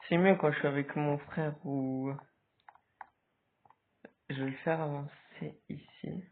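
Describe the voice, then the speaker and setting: A man with a cold giving hesitant, casual commentary over a game, with sudden excited outbursts. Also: on the high side, slow pace, gravelly, a little hiss or hum. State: stuffy with a cold. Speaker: gaming video creator. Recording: tinny phone-like recording.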